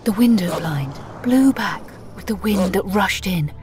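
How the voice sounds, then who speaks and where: An actress playing a frightened, weakened young woman, narrating in a breathy, dramatic whisper in an audio drama. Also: medium pitch, lively intonation, unhurried, natural voice. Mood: fearful, urgent. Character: frightened, weakened young woman writing her last record.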